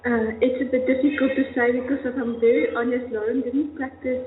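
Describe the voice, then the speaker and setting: A young woman speaks earnestly and searches for her words. Her voice sits a little high. The sound is thin and phone-like, ringing with long reverb and a faint hum. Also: fairly even intonation, a little fast. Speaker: voice student and teacher. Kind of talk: candid workshop interview. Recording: tinny, echoey hall with faint hum.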